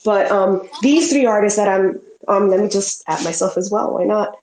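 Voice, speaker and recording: A woman with a stuffy cold chatting casually as a guest artist on an online call, often pausing to clarify herself. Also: medium pitch, darkish tone, a little hiss or hum. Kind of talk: casual chat. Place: live online audio call from New York. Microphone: headset. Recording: roomy, echoing online call.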